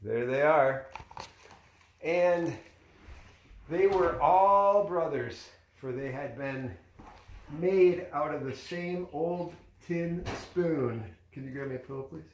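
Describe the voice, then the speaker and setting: A man's voice: a little high, slow pace, a little hiss or hum. Man reading a storybook in an echoey room. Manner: conversational, pointing out pictures to a child. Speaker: father reading a story aloud to his child. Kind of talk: storybook read-aloud with casual asides.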